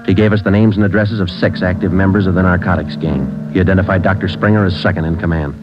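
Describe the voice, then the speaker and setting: An actor playing a terse police sergeant who narrates in a flat, clipped, matter-of-fact way, in a 1940s radio drama. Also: male voice, sits low, fast, music in the background, lightly acted. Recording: dull old radio broadcast.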